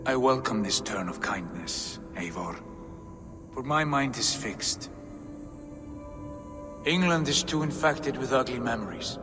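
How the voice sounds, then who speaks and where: An actor playing a stern, proud Viking jarl, speaking in a grave, measured and formal way, as in a dramatic game cutscene. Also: male voice, medium pitch, expressive, lightly acted. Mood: resolute, solemn. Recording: long reverb, loud music behind.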